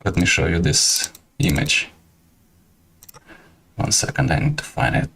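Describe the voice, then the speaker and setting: Ukrainian-accented male host, very low voice, halting casual livestream chat. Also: vocal fry, fairly bright tone. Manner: halting, many fillers and restarts.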